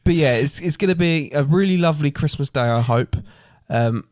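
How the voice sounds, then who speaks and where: A man's voice: fairly high pitch, normal speed, a little mellow, dry recording. A man co-hosting a podcast in casual chat. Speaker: podcast co-host.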